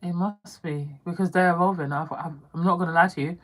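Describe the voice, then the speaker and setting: A woman's voice: lowish voice, steady tone, a little fast. A woman in a casual live chat, talking easily and often laughing, filling in details for new viewers. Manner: chatty, laughs often, jumps in to explain. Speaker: co-host of family channel.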